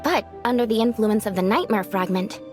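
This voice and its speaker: A woman voicing a gentle, polite young female yokai in a video game, earnest and a little hesitant. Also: medium pitch, a little fast, music in the background, close to an everyday voice. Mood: earnest explaining.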